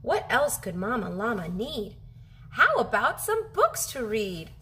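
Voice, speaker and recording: A woman in her thirties reading a children's picture book aloud as a teacher, animated and expressive, drawing out her phrases for young listeners. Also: fairly high pitch, measured pace, a little hiss or hum. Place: home kitchen, indoors.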